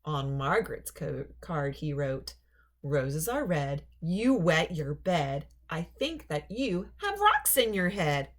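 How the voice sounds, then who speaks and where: Slow, animated woman reading a storybook. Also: low-pitched. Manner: deliberate, emphatic storytelling for young children. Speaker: storybook reader. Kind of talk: expressive children's read-aloud narration.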